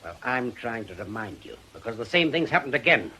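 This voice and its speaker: An embittered old widower in an old radio play. He is gruff and blunt, with a forceful, sharp voice over faint noise. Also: medium pitch, very animated, fairly quick. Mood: bitter, accusing. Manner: blunt, curt, says "aye". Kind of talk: radio drama acting.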